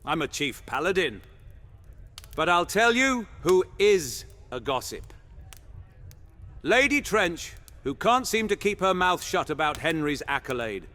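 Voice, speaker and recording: Man acting as proud medieval paladin, gossipy, teasing, bright forceful tone, fairly high male voice, fantasy audio drama. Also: very animated, unhurried, soft background music, heavily acted. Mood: indignant, gossipy. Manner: teasing, indignant, conspiratorial.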